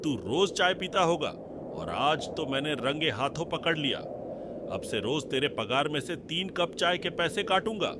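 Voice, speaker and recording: A man's voice: on the high side, sing-song, a little slow. A man voicing a stingy, bullying shop boss who scolds and accuses, in a cartoon moral story. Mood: angry, accusing. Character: stingy, bullying male tea-shop boss, quick to anger. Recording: loud noise behind the voice.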